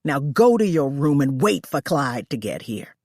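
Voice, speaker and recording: A woman reading aloud, voicing a cruel wealthy female employer who yells and screeches at her maid. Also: big pitch swings, normal speed, dry recording, studio quality, exaggerated voice. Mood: cold. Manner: shrill, shouting.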